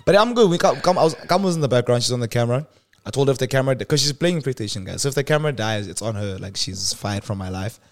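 A man's voice: medium pitch, expressive, fast. A man, hosting a casual podcast chat in a loose, joking way and laughing often. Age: 20s. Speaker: podcast host.

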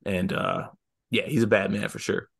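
A man from Michigan co-hosting a casual sports podcast, relaxed and easygoing in his banter. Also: fairly low pitch, normal speed, vocal fry, slightly rough. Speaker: podcast co-host.

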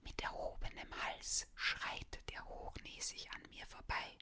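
A woman reading a children's story aloud in a soft, unvoiced whisper. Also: measured pace.

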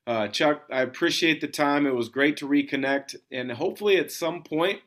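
A man's voice: a little high, normal speed, fairly bright tone. A man hosting a sports podcast, chatting casually as he interviews a guest.

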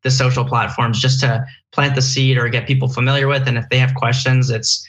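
Man, podcast guest, friendly, rambling, mid-pitched voice, lots of fillers. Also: level tone, brisk, a bit bright, muffled recording. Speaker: vitamin company founder, guest. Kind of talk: casual podcast interview.

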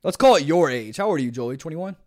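A man's voice: a little high, expressive, fast, darkish tone. A young man co-hosting a podcast in casual chat, rambling with lots of slang and restarts. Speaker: podcast co-host.

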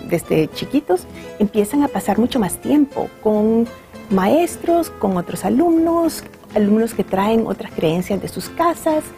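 A female expert gives interview answers in a gravelly, mellow voice. She speaks thoughtfully, pausing and restarting her sentences, with faint music underneath. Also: on the high side, sing-song.

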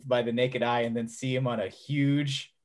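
A man's voice: mid-range voice, flat delivery, moderate pace. Joking man hosting a podcast chat. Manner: jokey, lots of affirming mm-hmms. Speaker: podcast host. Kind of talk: casual interview chat.

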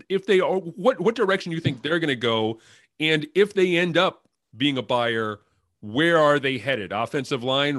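A man's voice: medium pitch, a bit bright, no echo. Male sports podcast host, dry close sound. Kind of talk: conversational sports discussion.

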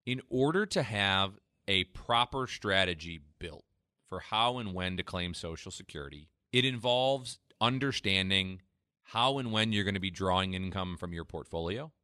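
A man hosting a retirement finance radio show, pitching a free tax analysis and explaining when to claim benefits. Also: animated, moderate pace, sharp tone, smooth, no room sound, studio quality. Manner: persuasive sales pitch, then explanatory. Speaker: financial advisor radio host.

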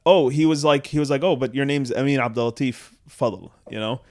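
A man's voice: medium pitch, fairly quick, a little mellow. A young man from Canada, co-hosting a podcast, telling a long anecdote in casual chat, laughing often and quoting people. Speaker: podcast co-host.

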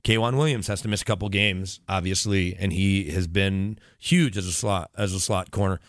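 Low-voiced man breaking down sports. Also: moderate pace, no room sound, clean studio sound. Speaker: sports radio reporter and guest analyst. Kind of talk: sports analysis in casual conversation.

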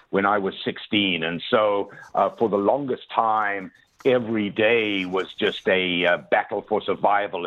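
A man in his sixties, a motivational speaker, talks about his own past on a radio show. His voice sits on the low side and comes through a thin, tinny phone line. He is earnest and pauses often on "uh". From South Africa. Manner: earnest, many "uh" fillers, addresses the host by name. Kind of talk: radio interview, personal storytelling.

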